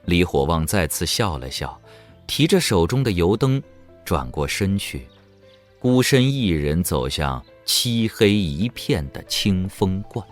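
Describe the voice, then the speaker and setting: A man's voice: sits low, big pitch swings, takes it slowly. A man giving dramatic scripted narration for an audio drama. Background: faint music.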